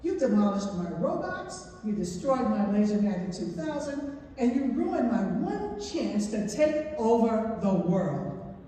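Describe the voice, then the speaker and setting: A woman's voice: medium pitch, big pitch swings, unhurried, dark tone, recorded from a distance, slight background noise. A woman voicing a vengeful, melodramatic male mad-scientist villain from a children's book, in an echoey theatre. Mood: menacing. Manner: menacing, melodramatic.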